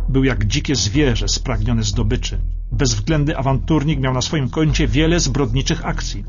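A man narrating a scripted history documentary for radio in a precise, emphatic, dramatic storytelling manner. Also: mid-range voice. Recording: slightly dull radio broadcast, music behind. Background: background music.

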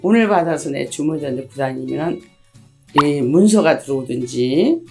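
Low, gravelly, hoarse middle-aged woman. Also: expressive, moderate pace, over music. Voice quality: husky, gravelly. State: hoarse from a cold. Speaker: shaman fortune teller. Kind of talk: interview answers.

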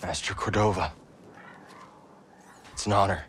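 Low-voiced, understated young Jedi. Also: male voice, fairly even intonation, measured pace, a bit bright, a little hiss or hum. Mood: sincere. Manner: understated, dry, laconic. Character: weary, sincere young male Jedi survivor. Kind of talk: video game cutscene acting.

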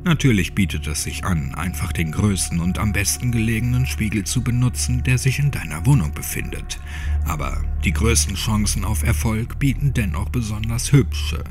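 A man narrates a creepy horror audiobook in a low voice. His delivery is dramatic and suspenseful, with lively swings in intonation. Music plays clearly behind him. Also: moderate pace. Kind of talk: scripted creepypasta audiobook narration, addressing the listener. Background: music under the voice.

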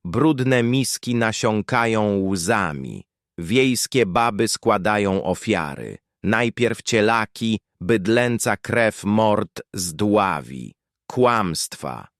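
A man reading a scripted dark horror tale as narrator, in clipped, deliberate, poetic phrases. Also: lowish voice, expressive, no room sound, studio quality.

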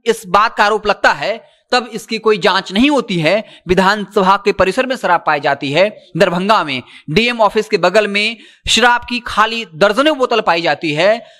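A man. His voice sits high for a man and has a bright, forceful edge. He speaks emphatically and insistently, straight to the audience on camera. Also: moderate pace. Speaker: news presenter. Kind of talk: news commentary, reporting to camera. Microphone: handheld microphone.

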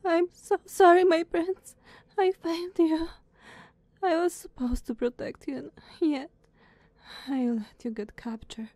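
A woman playing a devoted, anxious female knight in an ASMR roleplay, speaking formally and hesitantly with breathy tenderness. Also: very high voice, fairly even intonation, a little slow, dark tone, no room sound. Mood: tearful guilt. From Slavic country. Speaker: audio roleplay performer.

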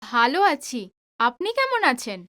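Guarded young female played by an actress, high sharp voice, polite but animated, audio drama. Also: unhurried, no room sound, clean studio sound, full character voice.

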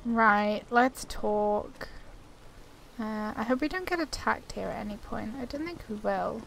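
A woman's voice: a little high, measured pace, creaky, a bit bright, slight background noise, poor audio. A woman gamer chatting casually as she plays and reads game text aloud. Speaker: gamer playing and commenting.

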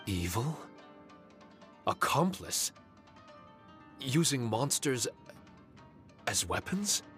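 A man's voice: medium pitch, big pitch swings, drawn-out words, fairly bright tone, soft background music, full character voice. A man voicing a small, earnest, loyal samurai puppet spirit in a video game, solemn and formal. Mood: shocked.

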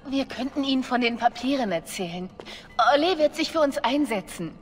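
Earnest, higher-voiced young female spy. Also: lively intonation, a little fast, faint music behind. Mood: anxious, apologetic. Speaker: voice actress in a video game. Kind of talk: German fantasy game dubbing.

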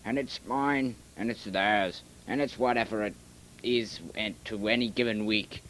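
A man's voice: fairly low pitch, fairly even intonation, unhurried, fairly bright tone. A man playing a domineering, self-satisfied master of illusion in an amateur audio drama. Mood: smug, commanding. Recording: noisy lo-fi home recording.

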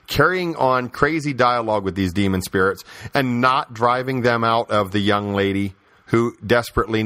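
A man's voice: mid-range voice, a bit bright, no room sound. Emphatic male podcaster in a dry recording. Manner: emphatic, repeating phrases for stress; rhetorical questions, conversational. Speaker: podcast host. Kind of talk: opinionated religious commentary and storytelling.

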